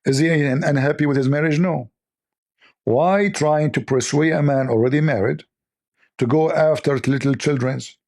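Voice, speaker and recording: A man with a voice worn hoarse, giving scornful, lecturing commentary on religious texts in non-native English. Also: on the high side, normal speed, darkish tone. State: losing his voice. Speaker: religious debate host. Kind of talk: polemical commentary reading texts.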